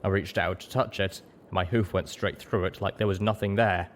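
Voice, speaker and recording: A man's voice: deep voice, fairly even intonation, brisk, a little hiss or hum. A man reading scripted first-person story narration as an audiobook narrator.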